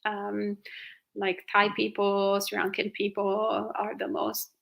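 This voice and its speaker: A woman with an Italian accent hosting a casual live question-and-answer chat, talkative and quick to laugh. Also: mid-range voice, monotone, gravelly, fairly bright tone. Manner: chatty, laughs often, fillers like "you know". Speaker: host reading viewer questions.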